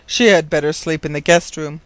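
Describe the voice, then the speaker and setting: A man voicing a kindly, absent-minded old professor in a storybook, speaking gently, thoughtfully and with some hesitation. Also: fairly high pitch, normal speed, dry recording, natural voice. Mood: thoughtful, doubtful.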